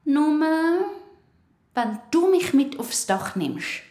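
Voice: high-pitched, wildly swooping pitch, extremely slow, a little mellow, reverberant, heavily acted. A performer playing a plucky, sceptical young girl with a Swiss accent in a children's radio play.

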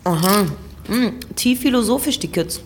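An actress playing a sceptical, sardonic police detective in a radio crime drama, with dry sarcasm. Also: female voice, medium pitch, expressive, normal speed, slight background noise, close to an everyday voice. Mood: sarcastic.

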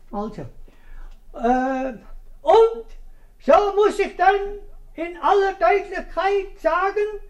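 A man playing a pompous, flustered judge with a Swiss German accent, halting and stammering in a 1950s radio comedy. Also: extremely high pitch, darkish tone, exaggerated voice. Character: pompous, flustered male judge rehearsing a moralising speech.

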